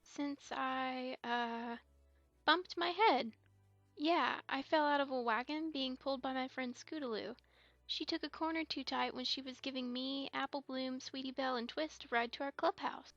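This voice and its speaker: Woman playing childlike unicorn girl, very high voice, hesitant, defensive, audio drama, dry muffled sound. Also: fairly even intonation, moderate pace, fairly bright tone, no room sound, faint music behind. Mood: earnest, defensive. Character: young unicorn filly, earnest and a little defensive. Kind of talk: amateur audio drama acting.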